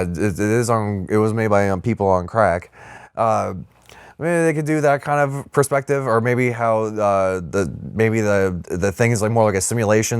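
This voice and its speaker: Low-voiced man rambling casually. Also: clean tone, no room sound, studio quality. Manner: rambling, profane, hedging with lots of "like". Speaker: podcast co-host discussing movies. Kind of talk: casual film chat.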